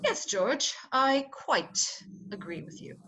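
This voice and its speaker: A high, very animated female voice over noticeable noise: a proud society bride played by a woman in a radio play. She is theatrical and emotional, with a self-mocking edge. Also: slow, exaggerated voice. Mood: hurt, resolute.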